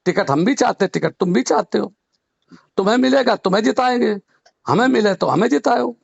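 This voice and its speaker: A man with a high, very creaky voice argues over the phone in a western Uttar Pradesh Hindi accent. He speaks like a local politician, insistent and full of questions. The call recording is dull and lo-fi, with his voice close and dry. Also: lively intonation, a little fast, no room sound. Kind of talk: argumentative phone conversation. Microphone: telephone.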